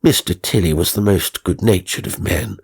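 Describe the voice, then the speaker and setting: Low, slightly husky male storyteller. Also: moderate pace. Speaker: narrator. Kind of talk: scripted ghost story narration.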